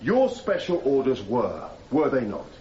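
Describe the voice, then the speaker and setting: An actor playing a formal, accusatory cross-examiner, pointed and insistent, in a radio courtroom drama. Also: male voice, mid-range voice, very animated, unhurried, over a noisy crowd. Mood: pressing. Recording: echoey room, slightly dull sound.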